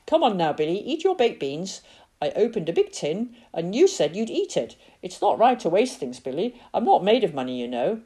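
A woman reads as a chattering, fussing middle-aged aunt in a storybook, raising her voice as she nags and passes on gossip. Her pitch swings widely, and the voice stays husky underneath. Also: big pitch swings, normal speed, warm tone. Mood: gossipy. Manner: chattering, scolding, full of hearsay.

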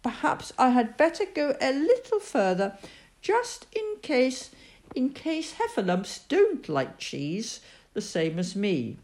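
A woman reading a storybook aloud, voicing a gentle, slow-witted male teddy bear who muses softly and unhurriedly. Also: high voice, wildly swooping pitch, voice pushed up, exaggerated voice. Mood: pondering. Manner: soft, musing and unhurried.

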